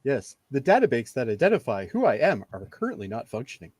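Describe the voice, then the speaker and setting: A man's voice: mid-range voice, very animated, fast talker, a little mellow, breathy edge. A man voicing a chipper, cheeky male android bartender in an amateur comedic radio play. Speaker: podcaster acting in script reenactment.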